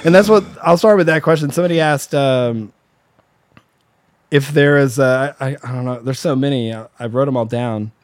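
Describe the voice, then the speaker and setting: Man, podcast host, middle pitch, chatty and excitable, dry close recording. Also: normal speed, no echo. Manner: chatty, excitable, many fillers. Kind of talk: casual interview.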